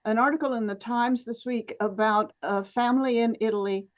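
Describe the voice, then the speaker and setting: A woman's voice: fairly high pitch, fairly even intonation, moderate pace. A woman in a casual group discussion, animatedly sharing a story she read. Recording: dull lo-fi audio.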